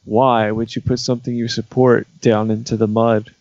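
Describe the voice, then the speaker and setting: A man co-hosting a comedy radio show, skeptical and exasperated, often halting over his words. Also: mid-range voice, little pitch movement, a little mellow, no room sound, highs cut off. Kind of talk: comedic banter.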